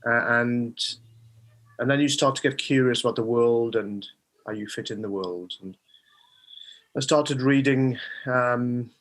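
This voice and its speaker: Welsh man, middle-aged, mid-pitched voice, hesitant, plenty of fillers, interview guest. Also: unhurried, long pauses. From Wales. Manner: hesitant, thoughtful, with many fillers. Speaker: guest, mind coach. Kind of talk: conversational interview.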